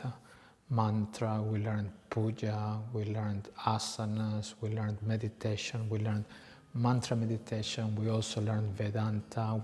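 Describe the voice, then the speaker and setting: A man in his forties, originally from Peru, a yoga teacher telling his story in a slow, reflective way with frequent pauses. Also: fairly low pitch. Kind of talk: personal storytelling. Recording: reverberant room with soft background music.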